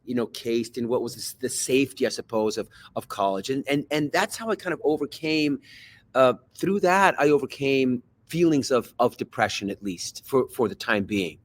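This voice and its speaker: A man raised in Switzerland speaks as a podcast guest, reflecting on his life in a thoughtful, unhurried way. He often restarts and repeats words as he searches for what to say, in an ordinary middle-pitched voice. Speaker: podcast guest, actor and coach. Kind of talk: reflective personal story in conversation.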